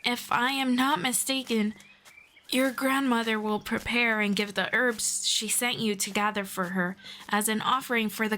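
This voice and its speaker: A woman playing a friendly, knowledgeable elf in a scripted roleplay, speaking to the listener in a formal, measured, gently explanatory way. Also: fairly high pitch, a little hiss or hum. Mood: polite, curious. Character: friendly, knowledgeable female elf guiding a human. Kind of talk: scripted roleplay audio, one-sided conversation with listener.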